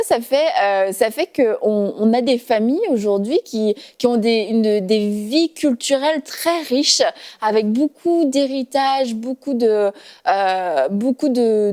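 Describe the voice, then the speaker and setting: A woman hosting a faith talk show from a studio set, chatty and warm with laughter, using many fillers. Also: fairly high pitch, measured pace.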